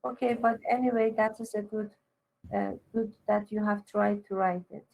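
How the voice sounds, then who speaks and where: A woman teacher giving halting, corrective and instructive one-to-one feedback on a student's writing. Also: mid-range voice, fairly even intonation, darkish tone, rough recording.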